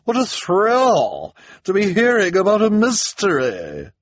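A man voicing a gossipy storybook woman who relishes bad news, in an excitable, dramatic, drawn-out way. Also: high voice, no echo, pitched above the performer's own voice, heavily acted. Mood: thrilled.

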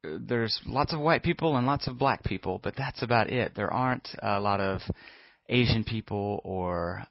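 A man with a low, gravelly voice gives a thoughtful, hesitant answer. The sound is dry and close, with a slightly muffled quality. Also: dry recording. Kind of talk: casual spoken answer.

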